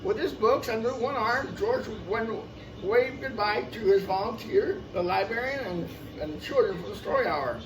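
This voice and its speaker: Expressive high-voiced man reading to kids. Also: moderate pace. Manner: expressive, repeats phrases for young listeners. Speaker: storyteller. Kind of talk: children's picture-book read-aloud with casual asides. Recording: noisy, picked up from a distance.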